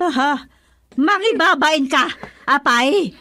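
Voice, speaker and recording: A woman's voice: high-pitched, expressive, takes it slowly, pushed and bright, heavily acted. A Filipino woman playing a fiery, scornful older relative in a radio drama, scolding in an exclamatory, melodramatic way. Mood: outraged, scornful.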